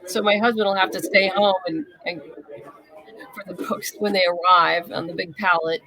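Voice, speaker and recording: A woman's voice: fairly low pitch, fairly even intonation, normal speed, sharp tone, low-quality recording. A woman speaking as a guest in a casual interview, candid and explanatory. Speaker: interview guest, comic writer and novelist.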